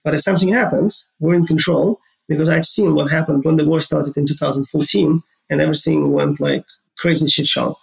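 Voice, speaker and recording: Man, Ukrainian accent, very gravelly voice, slightly high, earnest interview answers. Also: steady tone, a little fast, poor audio. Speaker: guest, gaming entrepreneur.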